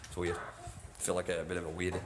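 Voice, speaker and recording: Deep-voiced man rambling on a phone vlog. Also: monotone, fast, noisy background. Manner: chatty, rambling, says "you know what I mean". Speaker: station cleaner and vlogger. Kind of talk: casual walking tour vlog. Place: old train station toilets and platforms at night. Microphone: handheld phone.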